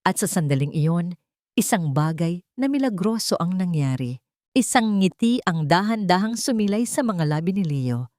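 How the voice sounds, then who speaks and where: A woman's voice: fairly low pitch, expressive, normal speed, a little mellow, no room sound, studio quality. A woman narrating a dramatic romance story from a script.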